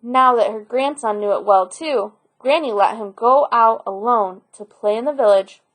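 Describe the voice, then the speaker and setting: A woman narrating a children's picture book aloud in an expressive storyteller style. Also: fairly high pitch, normal speed.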